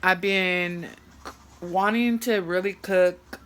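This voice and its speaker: A woman's voice: lowish voice, steady tone, slow pace, a bit bright. A woman chatting through a home cooking video, joking bawdily and rambling as she goes. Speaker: cooking vlogger. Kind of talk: casual cooking chat. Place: home kitchen with food frying. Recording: sizzling pan noise behind.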